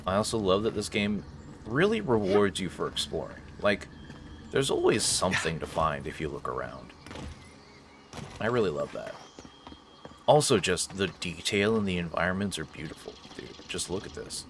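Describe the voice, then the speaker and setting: A man hosting a casual video game playthrough, commenting in an expressive, jokey, slangy way. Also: low voice, moderate pace, a little hiss or hum. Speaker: gaming host doing a playthrough. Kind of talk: casual let's play commentary, partly voicing dialogue. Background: faint game ambience.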